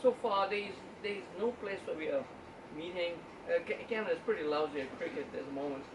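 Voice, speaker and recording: A man's voice: high-pitched, fast talker. Hesitant man speaking in an echoey room. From Uganda. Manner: hesitant, often repeats and restarts words. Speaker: guest speaker, statistician. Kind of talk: informal talk to a book club audience. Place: indoor meeting room at a book club. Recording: roomy, distant room recording with background noise.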